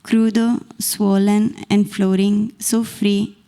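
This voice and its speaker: Brief: Italian-accented woman in her thirties, poet reading measured verse, warm low tone with some fry. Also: level tone. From Italy. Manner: measured, deliberate reading from a page. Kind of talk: slow poetry reading. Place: small stage in a dark performance venue. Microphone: stand mic close to the mouth.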